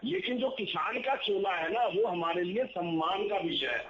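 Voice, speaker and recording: A man's voice: high-pitched, normal speed, fairly bright tone. A man arguing as a political panelist on a TV debate, combative and insistent, hammering rhetorical questions. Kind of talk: argumentative TV debate. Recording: roomy, dull lo-fi broadcast link.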